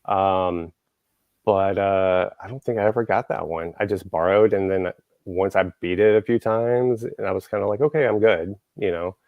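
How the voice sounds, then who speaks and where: A man's voice: deep voice, normal speed, no room sound, phone-quality sound. A man from Texas, hosting a show and chatting casually, rambling with lots of fillers and restarts. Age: adult, grew up in the 1980s. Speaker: show host. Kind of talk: casual podcast chat.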